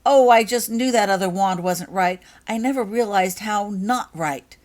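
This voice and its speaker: A woman voicing an excitable, impatient, gushing young schoolgirl witch in a storybook reading, eager and emphatic. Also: medium pitch, unhurried, voice pushed up, natural voice. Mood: excited, gushing. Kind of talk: audiobook character voice.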